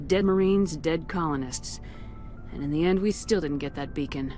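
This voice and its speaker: A woman's voice: deep voice, moderate pace, dark tone, soft background music, lightly acted. A woman playing a stern, composed military starship commander in a video game, speaking with firm, clipped authority. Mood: somber. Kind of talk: video game dialogue acting.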